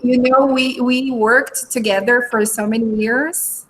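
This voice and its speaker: Woman host, slow and halting, a bit high, faint room hum. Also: fairly even intonation, low-quality recording. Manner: hesitant, searching for words, many fillers. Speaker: language coordinator and host. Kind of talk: hosting a school live stream.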